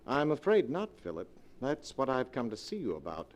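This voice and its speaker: An actor playing a smooth, scheming male blackmailer in an old-time radio drama, with an insinuating manner. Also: mid-range voice, wildly swooping pitch, moderate pace. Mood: smooth, sly. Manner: suave, insinuating radio acting.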